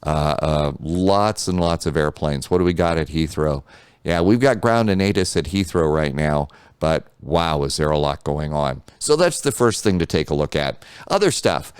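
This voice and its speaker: Deep-voiced American man giving a casual tutorial. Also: smooth, no room sound. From United States. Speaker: flight simulator tutorial host.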